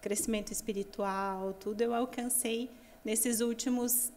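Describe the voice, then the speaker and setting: A woman missionary guest in a casual interview, speaking softly and reflectively with many fillers. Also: fairly high pitch, steady tone, measured pace, slight background noise.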